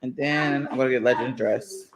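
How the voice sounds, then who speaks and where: A woman's voice: extremely low pitch, moderate pace. A woman narrating a casual vlog about her day, in plain everyday talk. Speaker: family vlogger, mother. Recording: distant mic with clear background noise.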